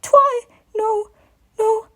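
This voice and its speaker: A woman's voice: extremely high pitch, steady tone, drawn-out words, pitched above the performer's own voice, heavily acted. A woman voicing a young male baby dragon, crying and pleading in panic as he sleeps. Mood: tearful terror. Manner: pleading, desperate.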